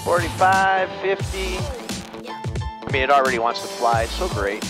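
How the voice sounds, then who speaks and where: A middle-aged man piloting a small plane, calmly talking through each step of his flight maneuvers over a headset mic. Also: a little high, moderate pace, music in the background. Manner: calm, steady step-by-step callouts. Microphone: aviation headset mic.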